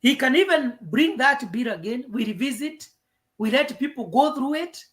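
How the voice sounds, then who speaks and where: A woman's voice: sits high, animated, normal speed. A Kenyan woman with a Kenyan accent in an earnest political discussion, mixing English and Swahili. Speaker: panelist.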